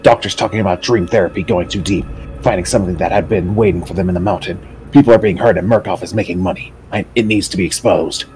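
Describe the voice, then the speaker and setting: A man's voice: deep voice, quick pace, darkish tone, a little hiss or hum, rough recording. A man reading game text aloud as dramatic narration on a livestream.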